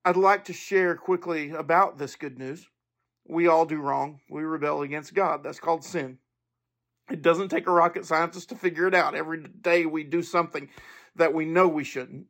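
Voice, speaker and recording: A man's voice: a little high, steady tone, moderate pace, fairly bright tone. A man hosting a podcast, speaking informally and earnestly in a monologue to listeners. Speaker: podcast host.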